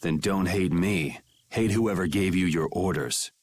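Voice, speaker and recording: A man playing a cool, grim young vigilante swordsman in a video game, terse and unhurried. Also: sits low, darkish tone. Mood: cold menace.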